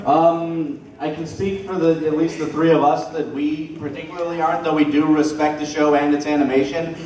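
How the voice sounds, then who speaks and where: Higher-voiced man in an echoey hall. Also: level tone, brisk, darkish tone. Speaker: panelist at an anime convention. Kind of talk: casual panel chat. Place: large hotel convention panel room. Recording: echoey hall from far, crowd noise. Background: audience crowd.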